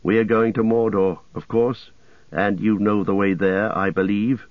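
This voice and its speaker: A man voicing a grave hobbit who speaks quietly and sternly. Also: lowish voice, fairly even intonation, moderate pace, no echo, lightly acted. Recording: dull lo-fi dry recording.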